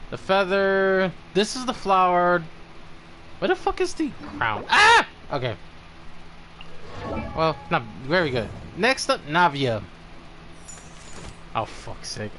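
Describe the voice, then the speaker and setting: Male streamer, high lively voice, casual sweary game commentary, faint background noise. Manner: frequent swearing. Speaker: streamer reviewing game characters.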